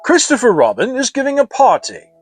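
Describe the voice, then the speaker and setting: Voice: mid-range voice, huge pitch swings, a little fast, husky, faint music behind, pitched above the performer's own voice. A narrator voicing a self-important male owl in a children's story, with pompous, emphatic delivery. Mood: announcing.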